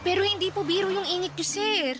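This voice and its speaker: An actress playing an eager, caring eighteen-year-old housemaid in a Tagalog radio drama, polite, hesitant and fussing. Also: female voice, very high voice, a little fast, very noisy. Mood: worried.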